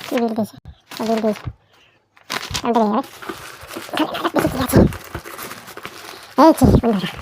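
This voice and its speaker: A woman's voice: on the high side, moderate pace, long pauses, noisy background. A woman chatting casually as she helps present a product unboxing.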